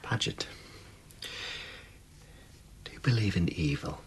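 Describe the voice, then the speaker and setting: An actor playing a haunted, despairing doctor in a radio ghost story, with a hoarse, faltering, breathy voice and a bitter curtness. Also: male voice, deep voice, lively intonation, slow, whispered, heavily acted. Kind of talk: period radio drama acting.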